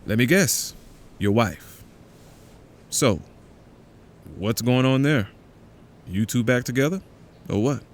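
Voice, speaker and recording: A man's voice: medium pitch, lively intonation, slow, long pauses, a little hiss or hum. A man playing a bitter, sardonic conspiracy believer in an audio drama, talking in an accusing, emphatic way. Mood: probing, sardonic. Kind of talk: audio drama, naturalistic phone conversation.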